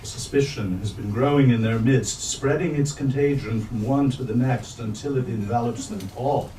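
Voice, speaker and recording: A man reading literary prose aloud at a bookstore event in measured, deliberate phrases. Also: mid-range voice, warm tone. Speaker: reader at a staged literary reading. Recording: roomy, distant mic, faint noise.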